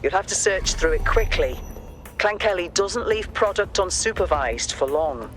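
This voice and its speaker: A woman plays a guarded resistance contact in a video game. She is dry and businesslike, giving brisk instructions, with faint music behind her. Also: on the low side, lively intonation, lightly acted.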